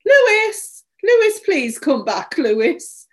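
A woman voicing a distraught, indignant story character, shrill, accusatory and pleading over her lost dog. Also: big pitch swings, a little slow. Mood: desperate pleading.

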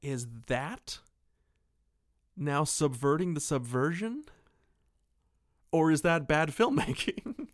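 A man co-hosting a podcast, chatting casually about movies and often pausing to search for words. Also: on the high side, animated, dry recording, studio quality. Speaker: podcast co-host.

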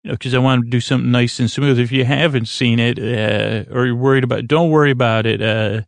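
A man with a gravelly, creaky voice at a middling pitch, recorded close and dry. He hosts a sleep podcast, drifting through halting tangents and correcting himself as he goes. The delivery is lulling and reassuring. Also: fairly even intonation, dry recording. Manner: meandering, halting, full of tangents and self-corrections. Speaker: podcast host. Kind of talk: rambling bedtime sleep podcast monologue.

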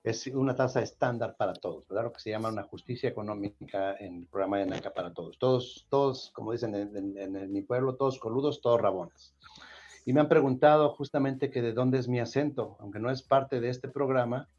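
A man's voice: medium pitch, a little fast, darkish tone, highs cut off. A man from Mexico with a Mexican accent hosting a podcast, explaining things casually and repeating himself with a frequent "¿verdad?". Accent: Mexican Spanish. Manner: repeats words, adds "¿verdad?" often. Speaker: podcast host.

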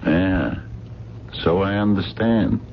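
An actor in a late-1970s radio drama playing a gruff, world-weary middle-aged man, with a laconic, sardonic delivery. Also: deep voice, slow pace. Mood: dry, laconic. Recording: dull, noisy 1970s radio recording.

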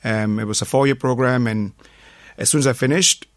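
A man's voice: mid-range voice, steady tone, fairly quick, dry recording, studio quality. A middle-aged man from Malaysia, a doctor speaking hesitantly and modestly as a guest in a casual radio interview.

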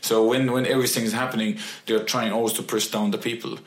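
Young man, guest musician, halting restarts, echoey room. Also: mid-range voice, flat delivery. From Egypt. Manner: halting, with frequent restarts and fillers. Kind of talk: interview answers.

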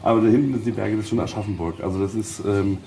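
A man giving an informal guided tour, explaining step by step in halting phrases on location in an old castle. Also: lowish voice, mellow. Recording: faint ambient noise on location.

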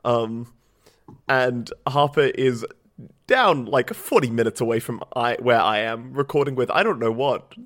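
A man co-hosting a casual podcast from his small flat, rambling a little with ums and restarts. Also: mid-range voice, unhurried. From Australia. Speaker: podcast co-host. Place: small home flat, blanket around the mic. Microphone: home podcast microphone.

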